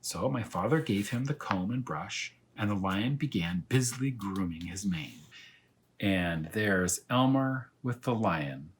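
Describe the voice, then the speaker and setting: A man reading a children's story aloud as a teacher of young pupils, in animated narration. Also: lowish voice, unhurried, a little hiss or hum.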